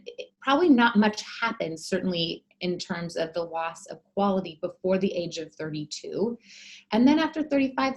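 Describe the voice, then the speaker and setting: A woman fertility doctor giving an educational talk, explaining clearly with frequent fillers. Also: fairly low pitch, low-quality recording.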